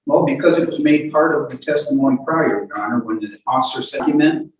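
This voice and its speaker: A man's voice: mid-range voice, slight background noise. Echoey, distant man questioning in court. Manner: halting, repetitive, insistent. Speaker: defense lawyer questioning a witness. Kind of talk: courtroom cross-examination. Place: indoor courtroom.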